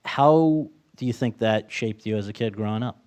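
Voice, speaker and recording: A man's voice: on the low side, moderate pace, darkish tone, smooth. A man interviewing a guest, asking questions in an upbeat, encouraging way.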